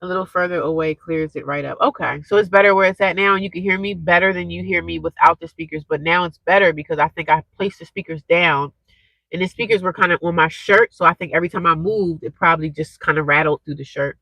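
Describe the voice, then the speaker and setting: A woman's voice: lowish voice, quick pace, gravelly, bright, forceful tone, dry recording, low-quality recording. A young woman, stuffed up and congested, chatting casually with viewers on a live stream through a cheap earphone mic. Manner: rambling, chatty, talks straight to viewers.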